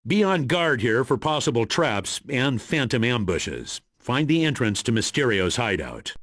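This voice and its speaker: Gruff husky spy commander. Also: male voice, medium pitch, very animated, measured pace, fairly bright tone, no room sound. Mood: commanding. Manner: commanding, briefing, dry. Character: gruff, authoritative male spy agency commander. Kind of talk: video game mission briefing.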